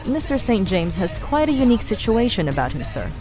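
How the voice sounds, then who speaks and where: Deferential female assistant, formal precise diction, lively melody, audio drama, background music. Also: on the high side, fairly quick. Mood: matter-of-fact, sly. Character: poised, devoted female assistant, formerly a pirate.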